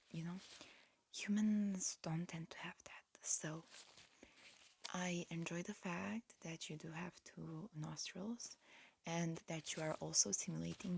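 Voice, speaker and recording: A woman whispering breathily in a gentle, halting way, close to the mic, playing a politely fussy examiner in an ASMR roleplay. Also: on the low side, slight background noise. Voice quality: breathy, mostly whispered with soft murmurs. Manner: gentle, unhurried, halting instructions. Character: disguised alien medical examiner, politely fussy and encouraging.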